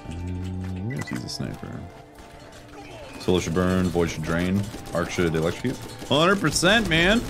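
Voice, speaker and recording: Low-voiced, animated male game streamer over loud music. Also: a little slow. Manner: animated, reacts out loud while playing; casual slangy address to viewers. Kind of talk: casual live gameplay commentary, chatting with viewers.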